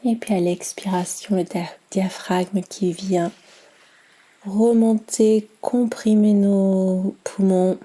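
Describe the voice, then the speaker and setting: Very mellow, slow-speaking woman guiding meditation. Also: mid-range voice, steady tone. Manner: soft, soothing, unhurried instruction with long pauses. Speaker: yoga nidra guide. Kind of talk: guided meditation.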